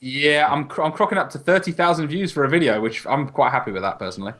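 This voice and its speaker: A man's voice: a little high, quick pace, fairly bright tone. A man co-hosting a gaming podcast in casual banter, swearing freely and slipping into mock voices. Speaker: podcast co-host.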